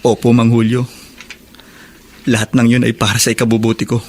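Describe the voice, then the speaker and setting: A man's voice: medium pitch, completely flat delivery, brisk, darkish tone, close to an everyday voice. A man with a Filipino accent acting as an earnest, respectful young prisoner in a radio drama. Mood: earnest, grateful.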